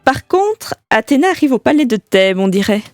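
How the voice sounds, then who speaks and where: A woman's voice: a little high, big pitch swings, normal speed, darkish tone, faint music behind. A woman narrating a scripted audio drama in a playful storytelling manner.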